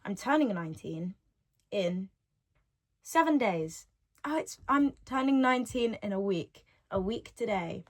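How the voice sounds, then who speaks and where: A woman's voice: medium pitch, animated, measured pace. An eighteen-year-old woman talks on her solo podcast, chatty and rambling, often repeating her own phrases. Her voice sits in an everyday middle range. She records from home on a device's built-in mic, not a proper microphone. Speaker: podcast host.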